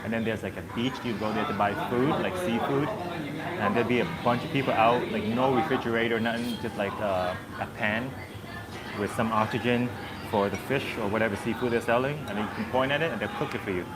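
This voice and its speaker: A man's voice: medium pitch, fairly quick. A man from Southern Vietnam chatting casually with his viewers as a vlogger, rambling and easygoing with plenty of fillers. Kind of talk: casual chat with live viewers. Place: busy street outdoors. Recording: loud street traffic behind. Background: loud traffic and street noise.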